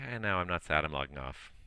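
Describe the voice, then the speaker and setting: A man's voice: medium pitch, sharp tone, dry recording. A man working as an online air traffic controller, giving clipped radio calls with weary casual asides while his voice is giving out. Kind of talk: ATC phraseology with casual asides.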